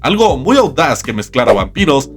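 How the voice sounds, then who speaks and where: Male video essay host, wry and theatrical, very lively intonation, faint music underneath. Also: mid-range voice, moderate pace. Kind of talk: scripted comedic video essay narration. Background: background music.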